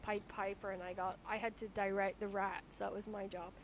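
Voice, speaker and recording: A woman from Shetland hosting a podcast interview, chatty and self-deprecating, with a naturally squeaky voice and frequent laughter. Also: level tone, moderate pace, a little hiss or hum. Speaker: podcast host. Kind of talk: casual conversational interview.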